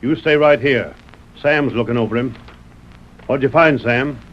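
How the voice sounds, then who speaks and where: Old West sheriff, male actor: American, folksy, authoritative, medium pitch, vintage muffled radio drama sound. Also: unhurried, close to an everyday voice. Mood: suspicious, probing. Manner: folksy rural drawl phrasing, commanding. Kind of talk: 1930s radio western acting. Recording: scratchy muffled 1930s radio broadcast.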